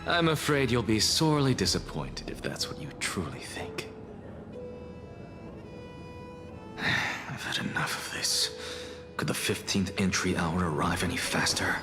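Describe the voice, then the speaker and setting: A man playing a haughty, world-weary young scholar in a video game, dry and theatrical with a breathy edge. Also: deep voice, lively intonation, moderate pace, music in the background. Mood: weary disdain.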